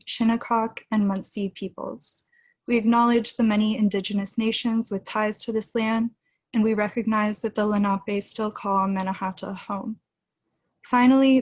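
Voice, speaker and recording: A young woman hosting an online event, reading a formal introduction in a measured way over a webcam video call from a room at home. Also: mid-range voice, dry recording. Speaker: event host. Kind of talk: read introduction.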